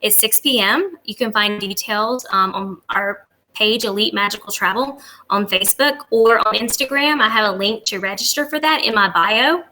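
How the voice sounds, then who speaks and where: Bright-voiced woman as an interview guest. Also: mid-range voice, moderate pace, low-quality recording. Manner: agreeable, affirming, eager to help. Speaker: travel agent, podcast guest. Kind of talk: conversational interview answers.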